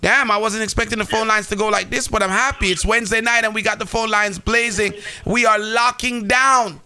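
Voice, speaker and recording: A man's voice: sits high, steady tone, a little fast, pushed and bright, a little hiss or hum. A man hosting a live call-in radio show, talking with callers and addressing listeners.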